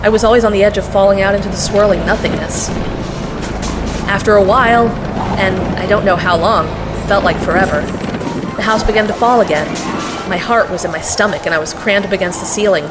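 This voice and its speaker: A woman's voice: medium pitch, fairly quick, over loud music, natural voice. A woman playing a young heroine who recounts her own adventure in wry, reflective first-person narration. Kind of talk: dramatic first-person narration for audio drama.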